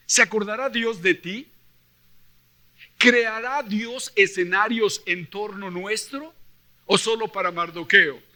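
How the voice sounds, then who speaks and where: A male preacher with a Mexican accent and a high-pitched, very bright, forceful voice. He delivers his sermon like an animated storyteller, acting out dialogue and pressing points with rhetorical questions. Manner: emphatic, with rhetorical questions to the listeners. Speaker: preacher at a church convention. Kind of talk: sermon mixing Bible reading and personal stories.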